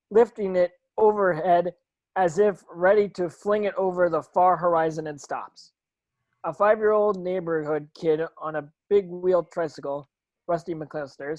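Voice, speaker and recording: A woman's voice: on the low side, a little mellow, dry recording, muffled recording. A woman reading script stage directions as narration, with occasional stumbles over the words.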